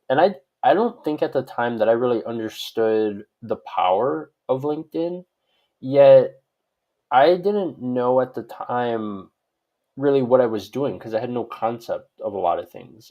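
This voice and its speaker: A man's voice: medium pitch, mellow, highs cut off. A man from Hawaii answering conversationally as an expert guest in an interview, rambling and often adding "like" and "right?". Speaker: guest expert on social media profiles.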